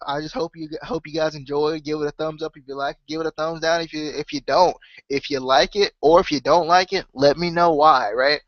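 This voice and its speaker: A man with a head cold talks casually to his podcast listeners in a nasal, blocked-up voice that sits slightly high for a man. He rambles, circling back with fillers and restarts. The sound is dry and a little muffled, like a cheap home mic. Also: fairly bright tone, no room sound. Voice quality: nasal, congested. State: stuffed up with a cold. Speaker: podcast host. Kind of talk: casual solo chat to subscribers.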